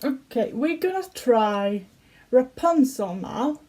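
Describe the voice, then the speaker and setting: A giggly young woman with a Swedish accent, talking casually for a reaction video. Her voice sits fairly high and she takes her time, pausing and restarting as her English slips now and then. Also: lively intonation, mellow. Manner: chatty and giggly, slightly broken English grammar. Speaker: YouTuber reacting to songs.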